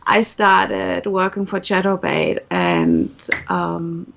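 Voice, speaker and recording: A woman's voice: fairly low pitch, very animated, slow, creaky, noisy background. Chatty woman with a German accent. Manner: chatty, giggly, quotes people in dialogue. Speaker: guest. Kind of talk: casual interview answers.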